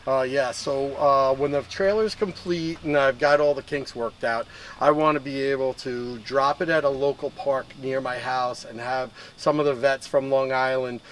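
A man's voice: medium pitch, steady tone, normal speed, fairly bright tone, slight background noise. A middle-aged man at an outdoor campground, enthusiastically explaining his trailer to a handheld camera, with frequent restarts. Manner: enthusiastic, explains gear hands-on with many restarts. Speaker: trailer owner. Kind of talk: casual show-and-tell interview.

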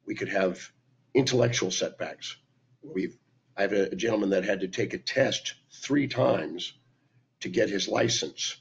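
An older man with a hoarse, raspy voice from laryngitis, giving an emphatic teaching talk to a webcam. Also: fairly low pitch, a little slow. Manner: emphatic, lecturing, lists points with hand gestures. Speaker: speaker and teacher. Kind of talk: talking to camera, teaching talk. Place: indoor room at home. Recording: dull lo-fi webcam sound.

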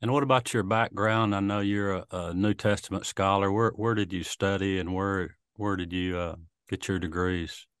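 An older man hosts an interview from a home room, talking over a video call. His voice sits very low. The sound is dry and close. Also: steady tone, fairly bright tone, clear, resonant tone, dry recording. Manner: unhurried, many fillers. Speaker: host. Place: home room with guitars and shelves.

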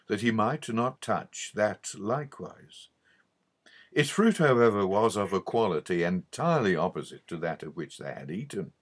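A man reading a theological audiobook in a measured, formal and emphatic manner. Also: on the low side. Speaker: audiobook reader. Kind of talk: scripted narration of a theological book.

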